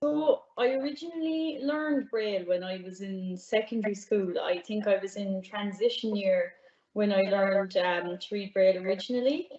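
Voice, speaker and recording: A young Irish woman, a music PhD student, answering interview questions in a soft-spoken way with frequent ums. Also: medium pitch, moderate pace. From Ireland. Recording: roomy, dull remote audio.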